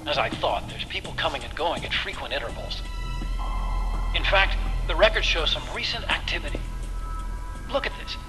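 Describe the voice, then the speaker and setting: Game role: anxious, sincere male tech sidekick, lightish pitch, very expressive, a touch hesitant, music bed. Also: moderate pace, pauses often. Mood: grave. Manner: earnest, expressive, slightly hesitant. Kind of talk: dramatic video game cutscene acting.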